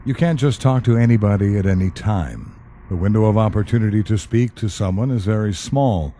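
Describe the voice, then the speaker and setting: Guy playing a calm dry government spook in an audio drama, dark mellow lowish voice, noisy background. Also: close to an everyday voice. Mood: patient explaining. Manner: measured, matter-of-fact, dryly polite. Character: calm, wry male government specialist who questions the dead.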